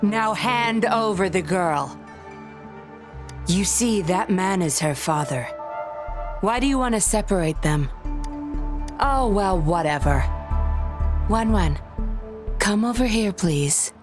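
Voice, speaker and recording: A woman playing a sly, composed undercover patroller who talks smoothly and with cool teasing, in a video game. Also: medium pitch, animated, slow pace, long pauses. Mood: coolly controlling. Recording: music behind the voice.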